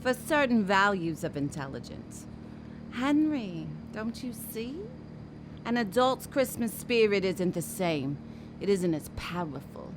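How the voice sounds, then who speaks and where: Woman as a slow, sardonic, gloating villainess. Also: medium pitch, big pitch swings, noisy background. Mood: sly, sardonic. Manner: sardonic, drawn-out taunting. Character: sly, gloating villainess scheming to end Christmas. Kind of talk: audio drama acting.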